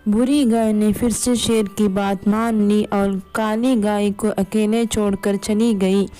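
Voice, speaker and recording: Unhurried, mellow woman storyteller. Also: mid-range voice, flat delivery, low-quality recording. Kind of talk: scripted moral story narration. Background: background music.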